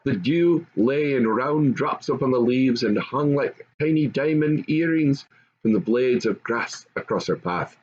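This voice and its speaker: An older man reads a classic fairy tale aloud in an expressive, measured, grandfatherly storytelling style. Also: medium pitch, lo-fi sound, slight background noise. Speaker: storybook reader.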